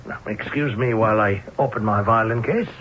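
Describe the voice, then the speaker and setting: An actor playing an urbane, self-assured professor detective in an old-time radio mystery, showy, precise and dismissive. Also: male voice, on the low side, a little fast, natural voice. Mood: showy, confident. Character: urbane, theatrical, self-assured male professor-sleuth who plays violin. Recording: dull, noisy vintage radio broadcast.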